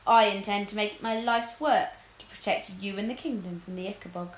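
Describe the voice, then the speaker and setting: A woman voicing a cunning storybook lord who speaks in grave, theatrical flattery, in an echoey room. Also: medium pitch, fairly quick, lightly acted. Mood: oily reassurance. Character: cunning, flattering, scheming male lord, falsely sorrowful.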